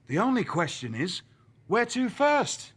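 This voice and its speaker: A shrewd, dramatic boss in a wrestling game. His high voice moves in big swings, with formal, wry, showmanlike phrasing. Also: sing-song, unhurried, husky, full character voice. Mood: grand, decisive. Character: shrewd, dramatic male wrestling general manager. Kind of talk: theatrical video game cutscene acting.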